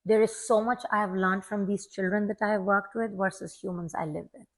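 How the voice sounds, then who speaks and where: A woman's voice: medium pitch, steady tone, fairly quick, low-quality recording. A woman in her forties from Pakistan, a longtime teacher, talking earnestly and reflectively as a guest in an interview, often repeating a word as she starts. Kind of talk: casual interview talk.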